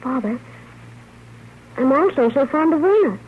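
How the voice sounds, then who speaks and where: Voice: sits high, animated, a little slow. An actor playing an earnest, naive little boy in a 1930s radio drama. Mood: pleading. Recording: muffled, noisy old radio broadcast.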